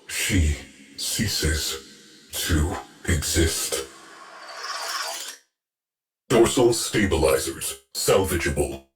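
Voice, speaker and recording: An actor playing a cold, mournful male ship AI who speaks in terse, clipped reports. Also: sits low. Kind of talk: game voice acting.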